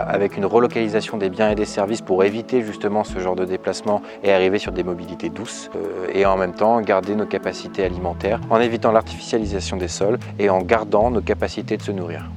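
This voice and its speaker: A man's voice: lowish voice, steady tone, fairly quick, hardly any pauses. A man in his twenties giving an earnest, hesitant campaign statement with frequent fillers. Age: 20s. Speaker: local election candidate. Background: music.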